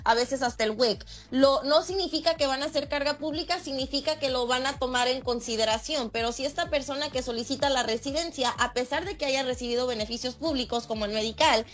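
A woman from Michoacán, a lawyer who is a radio guest, explaining legal rules in a steady, patient way. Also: sits high, a little fast, hardly any pauses. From Michoacán, Mexico. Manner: steady and explanatory, laughs easily.

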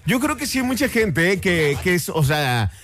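Playful high-voiced Mexican radio host. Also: male voice, very animated, normal speed, a bit bright, soft background music. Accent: Mexican Spanish. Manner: playful, sing-song delivery. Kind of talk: lively radio banter.

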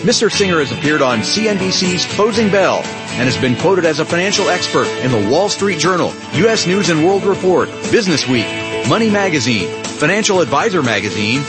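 A man's voice: on the high side, big pitch swings, a little fast. A man reading a scripted promotional introduction as a show announcer. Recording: country guitar music behind. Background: country guitar music.

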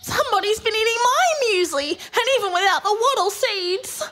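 Very high, fussy mama koala. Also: female voice, animated, normal speed, bright, forceful tone, exaggerated voice. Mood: whining dismay. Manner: whining, cooing. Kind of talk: children's storybook character voice.

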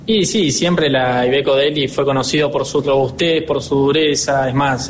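Argentine man in his twenties, a dealership director interviewed on radio, mid-pitched voice, faint rumble behind. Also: rough recording. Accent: Argentine Spanish. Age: 20s. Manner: earnest, upbeat, with many filler hesitations.

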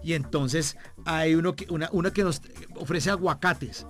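A man with a fairly high voice and a Bogotá accent hosts a chatty broadcast interview. He is jovial and colloquial, often repeating a phrase to stress it. Some music plays along with his voice. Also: normal speed. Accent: Bogotá Colombian. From Bogotá, Colombia. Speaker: radio show host interviewing a guest.

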